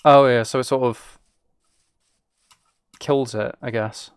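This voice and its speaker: A man's voice: medium pitch, fairly even intonation, slow pace, smooth, no room sound. A British man streaming a game and chatting casually with viewers, musing aloud in an informal, rambling way. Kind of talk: casual chat while playing a game.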